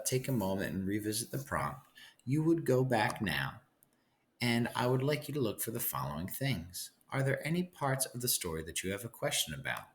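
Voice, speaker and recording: A man giving an instructional lesson as a teacher, thinking aloud and guiding listeners with frequent check-ins. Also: fairly low pitch.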